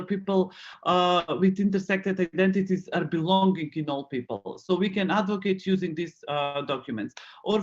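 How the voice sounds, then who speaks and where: A man speaking as an activist on an online panel, measured and thoughtful with many fillers, over a lo-fi call line. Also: sits high. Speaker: queer rights activist on a panel.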